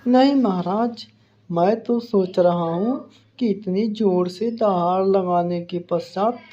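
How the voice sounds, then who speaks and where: Woman playing a mellow, slow-talking clever monkey. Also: mid-range voice, highs cut off. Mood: mock concern. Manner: mock-polite, playful, unruffled. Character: clever, cheeky male monkey who teases a king. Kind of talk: animated children's story dialogue.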